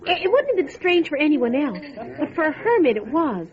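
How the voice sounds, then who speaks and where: An actress playing a wry, chatty small-town diner waitress, telling what she knows in a musing, expressive way, in old-time radio drama style. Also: high-pitched, fairly quick, highs cut off. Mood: musing, gossipy. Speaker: voice actor.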